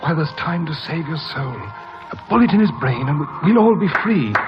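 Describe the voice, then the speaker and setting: A man's voice: on the high side, animated, brisk, natural voice. An actor playing a bitter, weak, murderous man with a gloomy, fraught delivery in an old radio drama. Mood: frantic. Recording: muffled old radio broadcast, music underneath.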